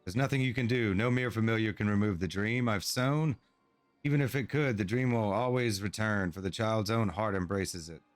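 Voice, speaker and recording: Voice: mid-range voice, normal speed, no room sound, close to an everyday voice. An actor voicing a lonely, possessive fae creature in a game cutscene, wistful in tone, over faint game music.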